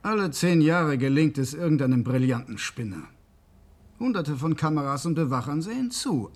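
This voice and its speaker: A man's voice: a little high, gravelly. An actor playing a brash, slangy man in a radio crime drama. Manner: slangy, emphatic. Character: brash, slangy actor crony spinning heist theories.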